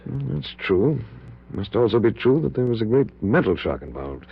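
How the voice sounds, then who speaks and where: An actor playing a scientist's uneasy, God-fearing colleague in a 1940s radio horror drama, hesitant and grave. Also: male voice, medium pitch, very animated, normal speed, gravelly, breathy edge, full character voice. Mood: troubled, hesitant. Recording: muffled, noisy 1940s radio recording with hum.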